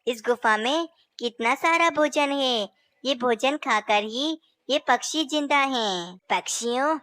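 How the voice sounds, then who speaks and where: A woman's voice: sits high, a bit bright, clean tone, no echo. A woman voicing a scheming male owl brother in a cartoon story who later says sorry. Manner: sly, then apologetic. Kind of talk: Hindi cartoon voice acting.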